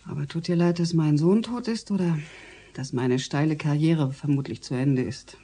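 Middle-aged woman politician, soft husky breathy voice, halting, guilt-ridden, naturalistic radio drama. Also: deep voice. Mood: bitter, guilty.

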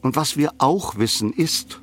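A man's voice: mid-range voice, expressive, a little slow, soft background music, close to an everyday voice. A man playing a precise, insistent co-narrator in a scripted radio drama. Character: precise, knowing co-narrator man correcting the account.

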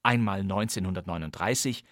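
A man's voice: low voice, a little fast, fairly bright tone, no echo. A man hosting a crime radio-play podcast, introducing an old recording in a playful, conversational way. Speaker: podcast host. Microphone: close studio microphone. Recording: dry studio sound.

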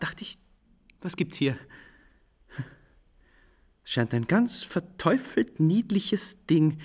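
An actor in a German radio play playing an exuberant composer who tells of his own mishap in an animated, theatrical way. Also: fairly high pitch, slightly rough, exaggerated voice. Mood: astonished. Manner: theatrical, animated, storytelling with shifting pace. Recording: faint music behind the voice.

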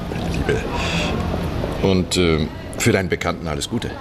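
An actor playing a jovial, unctuous lawyer in a radio crime drama, effusively polite and given to repeating himself. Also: male voice, sits low, measured pace, noisy background. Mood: evasive, polite. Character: jovial, unctuous, stout lawyer with feigned courtesy.

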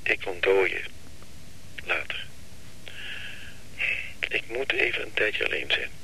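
An actor playing a troubled, evasive man who haltingly and wearily pleads for time in a radio drama. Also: deep voice, bright, forceful tone, in a whisper, heavily acted. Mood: weary, pleading. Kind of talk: Dutch radio drama acting. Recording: noisy radio play recording, telephone scene.

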